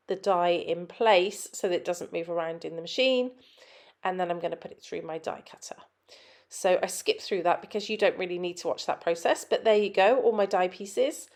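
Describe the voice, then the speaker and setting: A woman talks through her crafting process as a voice-over, her voice a little nasal because she is getting over a head cold. She explains each step in a chatty, easygoing way, with plenty of ums, at a middling pitch. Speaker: scrapbook designer. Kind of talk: voice-over tutorial narration.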